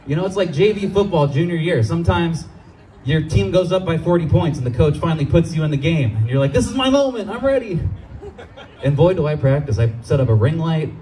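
A young man from Los Angeles performing a stand-up comedy set on an outdoor stage with a handheld mic, animated and jumping into high voices for the people he quotes. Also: a little fast, slight background noise, poor audio.

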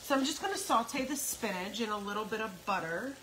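A woman's voice: medium pitch, expressive, a bit bright. A middle-aged American woman chatting casually and rambling a little as she cooks for an online audience in her home kitchen. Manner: unhurried, rambling asides while busy cooking. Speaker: home cook and online host. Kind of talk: casual cooking livestream chat. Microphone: phone propped nearby. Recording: noisy kitchen, sizzling pan. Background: frying sizzle and stirring.

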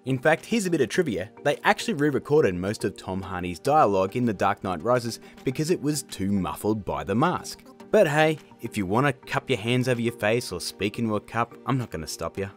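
A man hosting a casual software tutorial in a chatty, jokey way. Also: medium pitch, very animated, brisk, hardly any pauses, soft background music. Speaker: tutorial host. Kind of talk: casual screen-recorded tutorial narration.

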